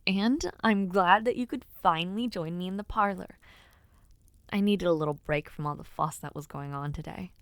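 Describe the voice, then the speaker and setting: A woman playing an earnest, affectionate young noblewoman and would-be knight in an intimate audio roleplay, speaking with gently formal, sincere phrasing. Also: lowish voice, moderate pace, dry recording, lightly acted. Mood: playful, self-deprecating. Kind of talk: audio roleplay, intimate one-sided dialogue to listener.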